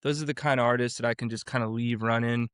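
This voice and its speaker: A man's voice: medium pitch, steady tone, quick pace, a bit bright, clean tone, no echo, clean studio sound. A man in his forties from Florida, a vocal coach and producer, talking casually as an interview guest and rambling through his story with many restarts. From Florida, USA.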